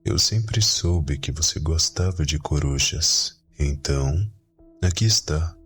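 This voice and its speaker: An extremely low, slow-talking vampire brother acted by a man, grumpy and curt with a soft heart underneath. He speaks in an ASMR roleplay drama with music behind him. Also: full character voice. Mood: bashful, sincere. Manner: curt, grumbling, dismissive. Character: grumpy young vampire brother, secretly affectionate, gruff.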